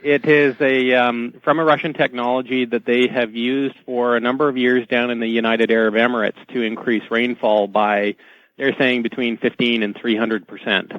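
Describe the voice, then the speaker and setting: On a dull, dry radio line, a man of medium pitch explains technical matters as an interview guest. He is matter-of-fact and somewhat halting in his delivery. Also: flat delivery, no echo.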